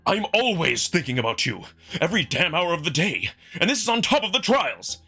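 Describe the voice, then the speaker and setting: A man voicing a proud, earnest wolf alien in a visual novel drama, formal and emphatic, quick to defend himself. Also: sits high, very animated, pushed and bright, husky, soft background music, pitched above the performer's own voice, exaggerated voice. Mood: exasperated, defensive.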